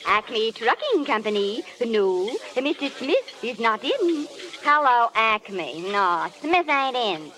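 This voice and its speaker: A woman's voice: a little high, big pitch swings, slow pace, pushed and bright, heavily acted, poor audio. An actress playing an earnest, nervous young small-town woman hoping for stardom, in vintage radio drama style. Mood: rehearsing. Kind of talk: 1930s-40s radio drama acting. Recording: vintage radio broadcast, background hiss.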